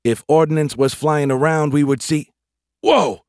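A man's voice: medium pitch, animated, fairly quick, a little mellow, no room sound, clean studio sound, voice pushed up, lightly acted. A man doing a grumbling, cocky army private. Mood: objecting. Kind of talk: audiobook character voice.

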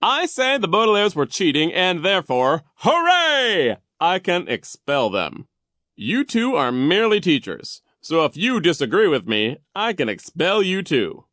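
Woman putting on a pompous, mocking vice principal, high and swooping. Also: sing-song, measured pace, fairly bright tone, dry recording, studio quality. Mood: bossy, triumphant. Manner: mocking, theatrical, overbearing. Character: Nero, pompous spiteful male school vice principal.

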